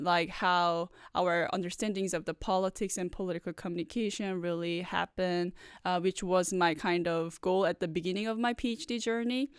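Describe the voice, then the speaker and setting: A woman's voice: medium pitch, clean tone, no echo, studio quality. A woman in her thirties from South Korea, a native Korean speaker, answering podcast interview questions thoughtfully with frequent "uh" and "like" fillers. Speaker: guest professor.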